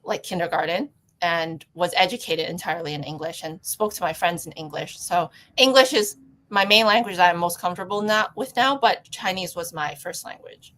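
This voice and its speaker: A young woman with a fairly low voice speaks casually in a podcast interview. She is from Toronto, and Cantonese was her first language. She explains her work thoughtfully, pausing and restarting with plenty of fillers. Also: a bit bright, poor audio. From Toronto, Canada. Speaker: guest artist.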